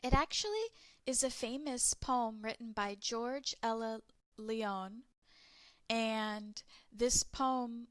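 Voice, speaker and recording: A woman teacher talks her students through a lesson at a slow, careful pace. Her voice sits a little high. The recording is close and dry, with no room sound. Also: steady tone, dry recording. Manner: slow, clear teacher talk explaining step by step. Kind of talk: recorded class lesson for English learners.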